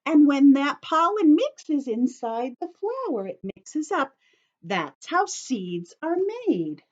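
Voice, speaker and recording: A woman teacher reading aloud to young children and explaining as she goes, with expressive, simple phrasing, in a slightly dull recording. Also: high voice, slow.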